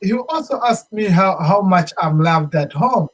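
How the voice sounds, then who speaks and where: A man's voice: high voice, expressive, a little slow, a bit bright, poor audio. A man with a Zambian accent chatting as an interview guest, emphatically restating his points and slipping into local phrases. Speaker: show guest, musician. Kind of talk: casual interview chat.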